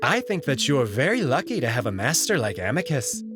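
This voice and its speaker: A man voicing a polite, discreet young alien servant with a courteous, measured and gently candid manner, in a visual novel. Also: mid-range voice, sing-song, over music, close to an everyday voice. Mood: polite, warm. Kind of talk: visual novel character read-through.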